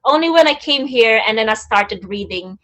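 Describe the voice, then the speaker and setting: Soft-spoken woman on a video call. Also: on the high side, expressive, normal speed, rough recording. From Philippines. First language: Tagalog. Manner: soft-spoken, searching, with frequent ums. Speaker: guest poet. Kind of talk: interview answers.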